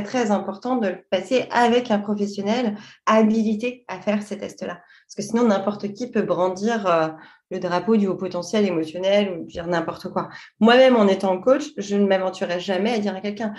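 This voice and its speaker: A woman's voice: mid-range voice, a little mellow, reverberant. A woman from France, a coach, explaining her field in a podcast interview in a thoughtful way, with frequent hesitations and restarts. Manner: thoughtful, many fillers and restarts. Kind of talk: conversational explanation.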